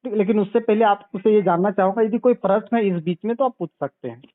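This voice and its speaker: High-pitched man on tinny phone. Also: fast talker, no room sound. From Bihar, India. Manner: didactic, repeats points and asks check-back questions. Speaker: sales consultant. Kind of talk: telephone sales pitch. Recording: narrow, tinny phone call recording.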